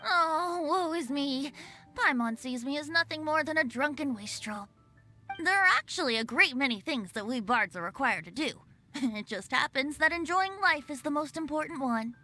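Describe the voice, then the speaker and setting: A woman's voice: high voice, expressive, moderate pace, bright, forceful tone, soft background music. A woman voicing a playful, teasing young male bard in a video game, laughing often. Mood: mock-dramatic. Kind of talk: game voice acting.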